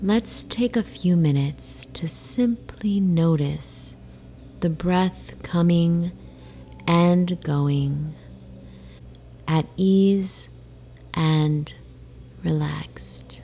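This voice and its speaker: A woman guiding a sleep meditation in a soft, soothing, hypnotic way, with breathy phrase endings and long pauses. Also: on the low side. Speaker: meditation guide. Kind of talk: guided sleep meditation, slow hypnotic narration. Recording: faint background noise, ocean waves. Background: ocean wave sounds.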